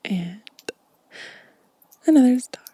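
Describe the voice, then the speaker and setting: A woman doing a gentle, casual ASMR show and tell, shifting between breathy whispering and soft-spoken talk close to the microphone. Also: high voice, lively intonation, takes it slowly, no room sound. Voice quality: breathy whisper mixed with soft voiced speech. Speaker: ASMR video host. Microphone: close microphone, dry sound.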